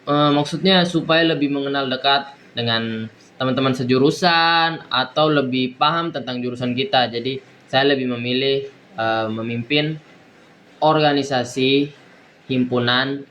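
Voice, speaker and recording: A young man, a university student, giving a recorded exam presentation in a halting way with many fillers and restarts. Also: mid-range voice, fairly even intonation, a bit bright, muffled recording, slight background noise. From East Nusa Tenggara, Indonesia. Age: young adult.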